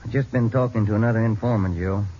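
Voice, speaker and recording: An actor playing a police sergeant partner with a folksy, easygoing way of talking, in a 1940s radio drama. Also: male voice, fairly low pitch, steady tone, fast, dark tone, lightly acted. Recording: dull old radio broadcast, faint hiss.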